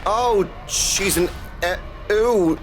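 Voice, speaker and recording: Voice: mid-range voice, very slow. A game streamer giving casual, irreverent, sarcastic reactions while playing. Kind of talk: casual reactive gameplay commentary. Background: game music.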